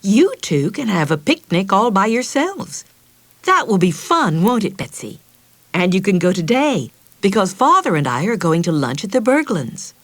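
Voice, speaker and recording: A woman voicing a kind mother speaking gently to her children in a storybook reading. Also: medium pitch, sing-song, moderate pace, no echo. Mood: gentle.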